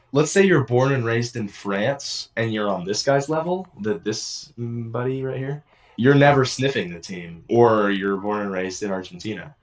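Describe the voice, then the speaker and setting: A man casually reacting to a football video, joking and mock-bragging. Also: mid-range voice. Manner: joking, mock-boastful, playful asides.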